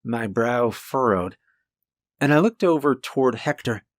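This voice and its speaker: Deep-voiced man narrating a horror story. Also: a little slow, dry recording. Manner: calm conversational storytelling with asides. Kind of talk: first-person scripted horror narration.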